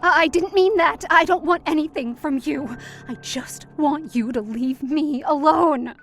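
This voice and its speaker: A woman's voice: sits high, measured pace, a bit bright, soft background music. A woman playing a frightened, desperate victim in a horror audio drama, pleading and stammering with her tormentor. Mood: panicked, pleading.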